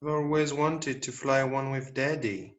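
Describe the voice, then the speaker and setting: A man's voice: mid-range voice, fairly even intonation, measured pace, lightly acted. A man playing a cheeky but wistful young boy in an amateur musical read-through, blunt and boyish.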